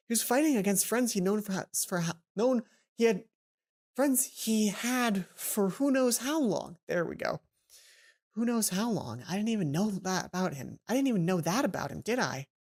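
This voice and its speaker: A woman hosting a let's play, reading a visual novel aloud and adding chatty, informal, animated asides. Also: medium pitch, slightly rough. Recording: music behind the voice. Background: game music.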